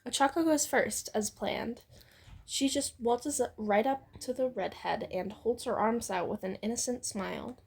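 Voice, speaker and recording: A young woman reads fan fiction aloud in a casual, giggly way. Her voice sits a little high with a slight husk, and she trips over words as she goes. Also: measured pace, vocal fry, slightly rough.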